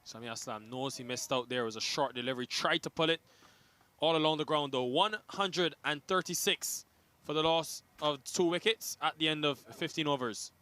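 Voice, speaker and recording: A man's voice: fairly high pitch, moderate pace, sharp tone. A man calling a cricket match as play-by-play commentator, rising with the big hits.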